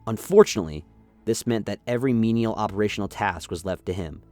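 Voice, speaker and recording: A man's voice: fairly low pitch, fast talker, darkish tone, soft background music. A man reading scripted, dramatic story narration as the narrator of a radio play.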